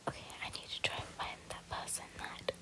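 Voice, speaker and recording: Whispering young woman doing gaming ASMR. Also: fairly quick. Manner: rambling, repeats words and phrases. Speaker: gaming video creator. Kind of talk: whispered ASMR gameplay commentary.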